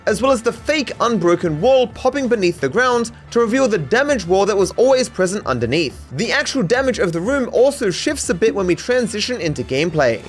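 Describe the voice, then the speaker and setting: An Australian man reads a scripted comedic video essay in a high voice. His delivery is mock-serious and sarcastic, with very animated intonation. Faint music plays underneath. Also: fairly quick, hardly any pauses. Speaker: video creator and narrator.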